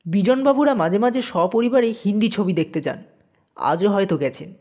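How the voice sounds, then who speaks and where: A woman narrating a scripted audio story in an expressive storytelling manner. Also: mid-range voice, moderate pace.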